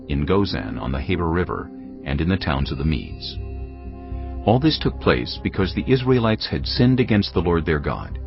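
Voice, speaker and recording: Very deep-voiced man narrating scripture. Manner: measured, grave storytelling. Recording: dull lo-fi sound over cinematic music. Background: cinematic music.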